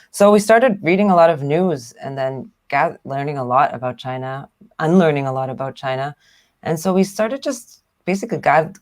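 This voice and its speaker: A woman's voice: deep voice, darkish tone. A woman from Hong Kong who grew up in Canada and speaks with a Canadian accent, explaining things easily as a guest on a video chat. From Hong Kong, raised in Canada. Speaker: guest, news platform founder. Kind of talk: casual interview talk.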